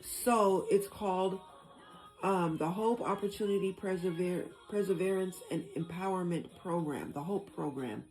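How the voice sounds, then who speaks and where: A woman's voice: lowish voice, unhurried, darkish tone, soft background music, rough recording. A woman hosting a casual discussion, reading out information and thinking aloud as she explains it. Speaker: host.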